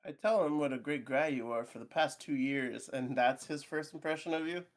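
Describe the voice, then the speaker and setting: A man reading the lines of a friendly, easygoing young man in a visual novel, in a relaxed, offhand way. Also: mid-range voice, moderate pace, natural voice.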